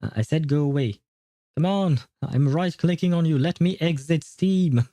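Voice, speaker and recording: A man livestreaming a game, chatting casually with viewers and explaining things step by step with hesitant pauses. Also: on the high side, warm tone, no echo. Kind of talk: casual gaming livestream chat, answering viewer questions.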